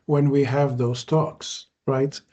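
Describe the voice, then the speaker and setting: A man from Lebanon whose first language is Arabic, hosting a podcast in relaxed conversation. He speaks in measured phrases with frequent "uh" pauses. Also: medium pitch. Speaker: podcast host.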